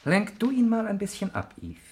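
An actor playing a matter-of-fact, cautious family lawyer with a practical manner in a 1960s German radio drama. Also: male voice, high-pitched, wildly swooping pitch, brisk, a little mellow, heavily acted. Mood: calculating.

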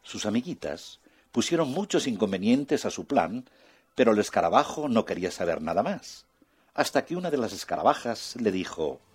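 Male narrator for a children's audiobook, husky, very animated. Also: lowish voice, normal speed. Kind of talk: children's storytelling.

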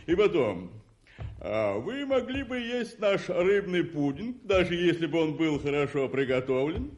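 Pompous English clubman: slightly high voice, animated, self-satisfied snob for radio comedy drama. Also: unhurried, dull audio. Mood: smug. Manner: pompous, emphatic. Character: pompous, self-satisfied upper-class English gentleman club member. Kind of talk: radio play dialogue.

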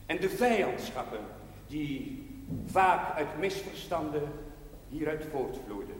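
An actor playing a devout village priest in a television drama, preaching solemnly in measured, emphatic cadences. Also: male voice, on the high side, animated. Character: devout male village priest bidding his parish farewell. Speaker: parish priest. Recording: echoey church hall reverb, background hiss.

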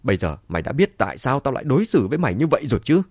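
Guy doing a nasty bitter mom in an audiobook, shouty n spiteful, pitch jumps up, dry sound. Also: sing-song, fast, breathy edge, no room sound. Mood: venomous. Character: bitter, cruel middle-aged mother, shrieking and venomous.

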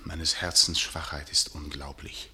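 Exasperated middle-aged playwright in a radio play, deep voice, really intense and bossy, stagey delivery. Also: male voice, little pitch movement, measured pace, pushed and bright, heavily acted. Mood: anguished, declaiming. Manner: commanding, declamatory. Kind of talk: theatrical radio drama acting.

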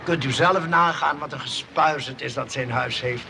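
A man's voice: medium pitch, normal speed, vocal fry, lightly acted. A man acting in a comic radio play as a glib, cheeky schemer who talks insistently and persuasively. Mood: indignant, persuasive. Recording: noisy old radio play recording.

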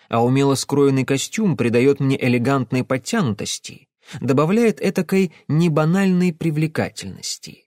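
A man reading a first-person novel as an audiobook, with a lively, expressive delivery. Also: dry recording, studio quality. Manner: lively, expressive reading with wide pitch swings. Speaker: audiobook narrator.

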